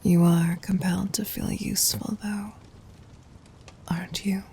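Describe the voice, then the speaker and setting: A woman playing a tender, possessive demon queen in an intimate ASMR roleplay, speaking in a soft breathy whisper with regal, formal endearments. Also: fairly low pitch, little pitch movement, unhurried, exaggerated voice. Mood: tender, seductive.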